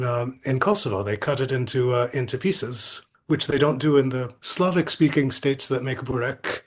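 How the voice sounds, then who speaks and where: A middle-aged man giving reflective, anecdotal interview answers as an academic guest, thoughtful and halting, often repeating words. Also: medium pitch.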